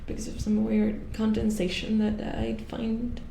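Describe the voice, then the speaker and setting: Female robot repair technician acted by a woman for ASMR horror roleplay; secretive and anxious, higher-pitched yet creaky, hushed, halting delivery. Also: monotone, echoey room, a little hiss or hum. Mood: uneasy, hesitant. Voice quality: soft, breathy, often whispered. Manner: halting, stammering, repeating words. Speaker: ASMR performer.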